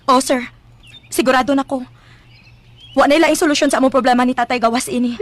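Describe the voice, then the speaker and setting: An actress playing a feisty, self-sacrificing young daughter in a Filipino radio drama, resolute and earnest. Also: female voice, high voice, fairly even intonation, fast talker, natural voice. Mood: firm. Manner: resolute, earnest, a little sassy. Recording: music bed behind.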